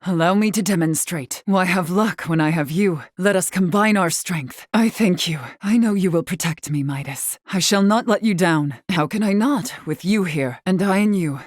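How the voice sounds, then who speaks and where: A woman voicing a proud, commanding female warrior in a video game, speaking with formal, measured diction. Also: fairly low pitch, dry recording, clean studio sound, natural voice. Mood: resolute, formal. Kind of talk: scripted video game character battle lines.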